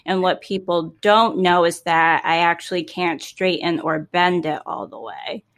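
A woman's voice: fairly low pitch, fairly even intonation, measured pace, fairly bright tone. A woman in her twenties, from Boston, co-hosting a podcast in casual chat and laughing often. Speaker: podcast co-host.

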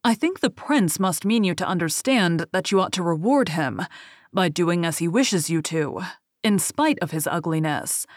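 A woman voicing a thoughtful older father in a storybook, giving kindly advice in a measured, formal way. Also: lowish voice, expressive, dry recording, clean studio sound. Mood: considered, advising. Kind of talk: storybook character reading.

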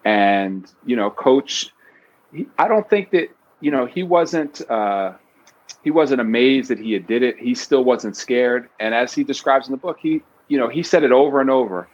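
A man in his fifties, a guest talking casually in an interview, animated and playful as he tells stories. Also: normal speed, muffled recording. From New York City. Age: 50s. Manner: animated storyteller, bursts of pitch when excited. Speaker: guest author.

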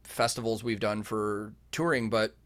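A man's voice: on the low side, fairly even intonation, measured pace, fairly bright tone. A man in his thirties hosting a podcast, talking casually with lots of fillers and restarts. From United States. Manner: chatty, rambling, many fillers and restarts. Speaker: podcast host. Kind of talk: casual conversational interview.

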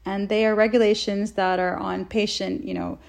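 A woman's voice: medium pitch, level tone, moderate pace, vocal fry. A woman from Saudi Arabia giving earnest, wordy, enthusiastic answers as an interview guest in a conversational style. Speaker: interview guest, health researcher.

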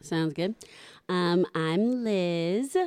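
A woman's voice: fairly low pitch, drawn-out words, darkish tone, smooth, no room sound. A woman in her early forties from Richmond, Virginia, co-hosting a podcast with laughing, teasing banter. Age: 40s. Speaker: podcast co-host. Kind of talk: casual chat.

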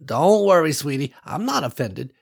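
A warm, wise old woman who has worked her land for decades, as a male narrator puts her on. She talks in an unhurried, folksy way, full of endearments, in a voice lifted somewhat above his own. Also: fairly high pitch, very animated, creaky, breathy edge, voice pushed up, heavily acted. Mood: gentle. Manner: gentle folksy drawl with endearments.

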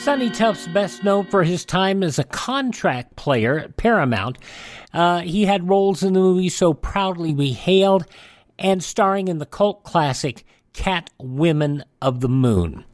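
A man's voice: fairly high pitch, dry recording. A man hosting a classic radio show, presenting and introducing old episodes.

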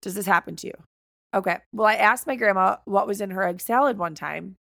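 Creaky-voiced American woman, bluntly teasing. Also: mid-range voice, fairly quick, fairly bright tone, no room sound, studio quality. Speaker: podcast co-host. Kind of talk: casual chat.

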